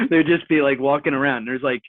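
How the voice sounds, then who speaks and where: A man from Central New Jersey tells stories as a guest on a casual podcast. He talks with lively, animated energy and drops the occasional curse. His voice comes through a thin, phone-like line with no room sound at all. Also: medium pitch, a little slow, no echo. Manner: animated storyteller, swears casually. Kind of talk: casual chat. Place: home room. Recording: tinny phone-like line, dry.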